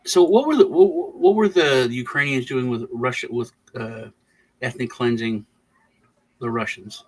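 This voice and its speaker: A man's voice: mid-range voice, unhurried, husky, rough recording. A man from Texas who grew up partly in Germany, chatting casually as a podcast host in a rambling way, often restarting his phrases. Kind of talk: casual political chat.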